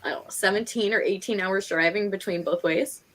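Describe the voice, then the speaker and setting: A chatty woman with a Northeastern American accent hosts a live stream. Her voice sits at an average pitch. She speaks casually and conversationally, with a giggly streak. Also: brisk, fairly bright tone. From Pennsylvania and New York, United States. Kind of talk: casual chat.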